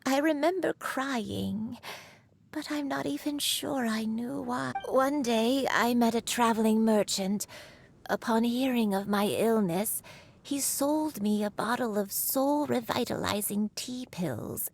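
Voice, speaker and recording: An actress voicing a frail elderly woman who speaks in a slow, halting, wistful way, in a video game. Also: fairly high pitch, vocal fry, slight background noise. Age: older. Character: frail elderly woman recalling a long illness.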